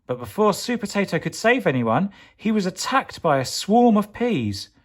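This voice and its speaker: A man narrating a children's storybook as a storyteller, in a dramatic, theatrical and expressive style. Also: high voice, normal speed.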